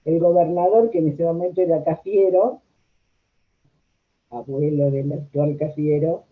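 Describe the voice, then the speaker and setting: A woman's voice: sits low, a little slow. An older woman with a Rioplatense Argentine accent, reminiscing conversationally as she is interviewed. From La Plata, Argentina. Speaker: interviewed social worker. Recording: tinny, narrow phone-like audio.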